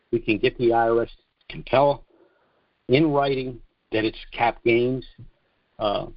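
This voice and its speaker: An American man giving a monologue briefing as host of a conference call, speaking deliberately and haltingly, with emphatic drawn-out phrases. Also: medium pitch, no echo. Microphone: conference call line. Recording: dull, lo-fi conference call audio.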